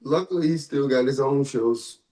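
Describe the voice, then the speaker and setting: A man's voice: mid-range voice, moderate pace, dark tone. A man co-hosting a casual podcast, giving slangy, opinionated takes on hip-hop and pop culture news with exaggerated asides. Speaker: podcast co-host.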